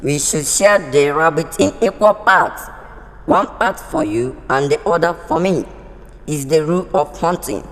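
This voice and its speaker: A man's voice: on the high side, lively intonation, unhurried. Proud, echoing animal hunter played by a man. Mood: stubborn, insistent. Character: lion's male animal hunting friend, proud and stubborn. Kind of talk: storybook character acting. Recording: long hall-like reverb.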